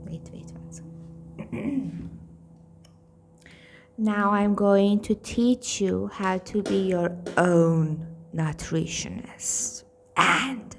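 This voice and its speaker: Blunt Indian-accented woman, slow talk. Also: medium pitch, fairly even intonation, creaky, slight background noise. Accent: Indian-tinged English. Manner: candid, blunt, swears freely, self-mocking. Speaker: nutrition coach. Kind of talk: casual weight-loss vlog.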